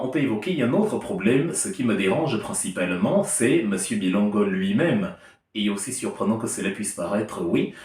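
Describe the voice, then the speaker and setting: A man's voice: on the low side, a little mellow. A man giving a heated political opinion monologue, emphatic and argumentative with an ironic edge. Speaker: political commentator. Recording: echoey room, distant mic.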